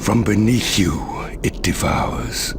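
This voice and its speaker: A man's voice: on the low side, animated, slow pace, in a whisper, over music. A man voicing a menacing, taunting villain in a video game. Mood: ominous.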